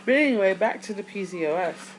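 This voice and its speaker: A woman in her thirties with a deep voice, just out of bed, talking to her camera in a chatty, rambling way with side notes. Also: lively intonation, fast, a little hiss or hum, rough recording. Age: 30s. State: just woke up. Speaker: vlogger. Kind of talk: casual talk to camera.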